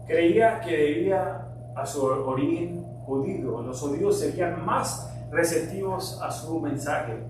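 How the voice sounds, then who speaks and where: A middle-aged man born in Colombia whose first language is English, preaching a sermon earnestly, sometimes forceful and sometimes quiet. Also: a little high, measured pace. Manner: earnest preaching with shifts between emphatic and quiet. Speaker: pastor. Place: church sanctuary. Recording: very echoey, distant mic, hum.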